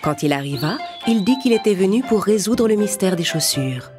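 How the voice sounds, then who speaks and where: A woman narrating children's fairy tales from a script in a measured, expressive storytelling manner. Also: mid-range voice. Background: music under the narration.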